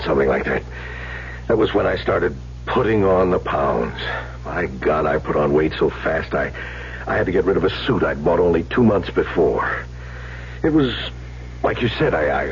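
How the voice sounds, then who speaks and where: A low, gravelly voice of a troubled man, stammering through a self-pitying confession. It sounds like a vintage radio play, dull with a faint hum. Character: troubled, self-pitying male patient confiding on couch. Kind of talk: 1970s radio drama acting.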